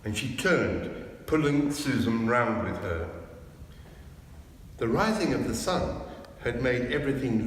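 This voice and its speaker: A man narrates a storybook aloud with expression. He takes his time, and his voice carries a heavy echo and long reverb inside a church, with faint noise behind. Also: mid-range voice. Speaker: clergyman reading a storybook aloud.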